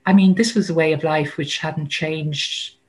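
A woman's voice: low voice, steady tone, a little slow. A woman in her sixties with an Irish accent answers at an easy, reflective pace. She thinks aloud with plenty of "um"s and restarts. She sounds as if she is on a laptop webcam in a book-lined room at home. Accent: Irish, Dublin. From Ireland. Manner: thoughtful, many fillers and restarts, light laughs. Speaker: author and guest. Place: home study with bookshelves, indoors. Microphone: laptop webcam on a video call.

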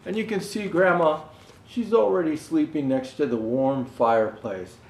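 An older man reading a children's picture book aloud, pausing to explain things gently to young viewers. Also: a little high, a little hiss or hum. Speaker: storyteller dressed as Santa Claus. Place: indoor room with Christmas decor. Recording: roomy indoor echo.

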